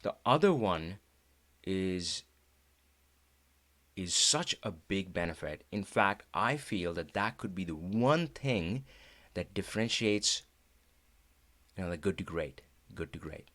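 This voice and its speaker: A middle-aged man from India with an Indian accent, Hindi his first language, hosting a live talk in a chatty, animated way with frequent laughs. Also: sits low, takes it slowly, pauses often. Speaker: host.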